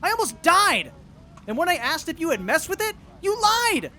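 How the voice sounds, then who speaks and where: An actor plays a furious, wounded youngster in an audio drama. The voice is high and sharp, leaping around in pitch with raw, accusing emotion. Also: big pitch swings, moderate pace, exaggerated voice. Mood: hurt, angry. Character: young person, hurt, furious, betrayed friend.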